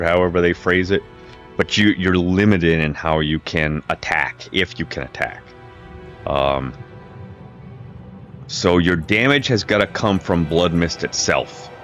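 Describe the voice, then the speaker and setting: A low-voiced man talks through a game build in a loose, meandering way, circling back and correcting himself as he goes. His streaming mic picks up a slight crackle, with faint game music underneath. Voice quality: low-pitched voice. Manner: rambling, restarts and self-corrections. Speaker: gaming video creator. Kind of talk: casual gameplay commentary. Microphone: home streaming mic with crackle.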